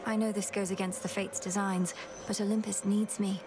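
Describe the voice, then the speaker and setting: A woman's voice: medium pitch, flat delivery, moderate pace, background noise, close to an everyday voice. Poised, earnest young princess played by a woman. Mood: determined. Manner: poised, sincere, articulate. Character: earnest, determined young princess goddess, courteous and caring.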